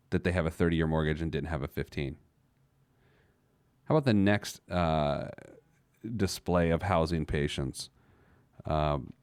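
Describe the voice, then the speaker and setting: A man with a low voice talks as the host of a money advice show. He is conversational yet emphatic, posing questions and answering them himself. The sound is dry and close. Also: a little mellow, no room sound. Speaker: host of a personal finance show.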